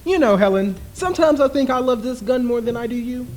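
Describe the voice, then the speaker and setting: Man acting as a smooth, menacing, swooping radio husband. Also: extremely high pitch, animated, a little fast, loud music behind the voice. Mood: toying, menacing. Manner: outwardly soothing, patronizing, theatrical endearments. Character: smooth, menacing husband toying with his frightened wife. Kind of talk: old-time radio thriller acting.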